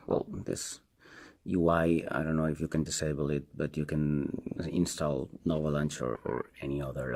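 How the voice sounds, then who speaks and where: A man with a Spanish accent and Spanish as his first language, giving a casual, unscripted product review in a halting, hesitant way and repeating himself. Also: rumbling low, gravelly, a little mellow. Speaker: tech reviewer, video host.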